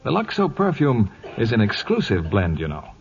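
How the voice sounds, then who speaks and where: A man's voice: huge pitch swings, moderate pace. A man reading scripted 1940s radio advertising as a polished commercial announcer. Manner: polished sales pitch. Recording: dull vintage 1940s radio recording.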